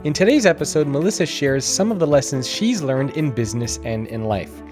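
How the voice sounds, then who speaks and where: A man's voice: mid-range voice, animated, fairly quick, a little mellow, faint music behind. A man hosting a business podcast, reading a polished intro and then interviewing his guest. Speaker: podcast host.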